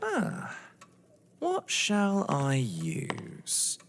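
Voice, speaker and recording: An actor playing an exasperated, wary young British man, indignant and excitable, in stage-style audio drama. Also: high-pitched, extremely slow, noisy background, exaggerated voice. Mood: hesitant, puzzled. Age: young adult. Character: exasperated, wary young male lover drawn into a scheme. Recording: echoey hall reverb.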